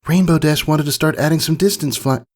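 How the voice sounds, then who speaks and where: A man's voice: a little high, brisk, very echoey, big-room sound, pitched above the performer's own voice, close to an everyday voice. A man puts on a young female pegasus pony for a storybook tale. The filly is plucky and sullen, talking casually in a voice lifted far above the reader's own. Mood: eager. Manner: casual, offhand, grumbling.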